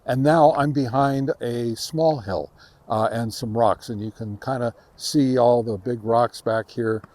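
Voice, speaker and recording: A man giving a casual, halting field-test commentary as host of a gear review, speaking outdoors. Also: medium pitch, fairly even intonation, darkish tone. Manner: halting, hesitant delivery. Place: outdoors in a park.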